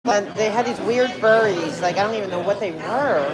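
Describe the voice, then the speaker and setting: A woman's voice: medium pitch, normal speed, over a noisy crowd, rough recording. Young woman streamer from New Jersey, chatty and rambling, busy crowded spot. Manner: chatty, rambling, reads viewer comments aloud. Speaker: livestreamer. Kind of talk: casual livestream chat.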